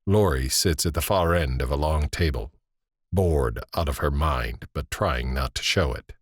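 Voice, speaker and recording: A man narrating an audio drama in measured, descriptive scripted prose. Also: extremely low pitch, husky, no room sound, studio quality, heavily acted. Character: male narrator setting scenes, measured and descriptive. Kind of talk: scripted present-tense scene narration.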